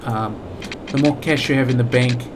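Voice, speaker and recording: Rambling South African gamer, noisy recording. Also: male voice, mid-range voice, fairly even intonation, moderate pace. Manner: rambling, thinks aloud with many fillers and restarts. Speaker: gaming channel host. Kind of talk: casual let's play commentary.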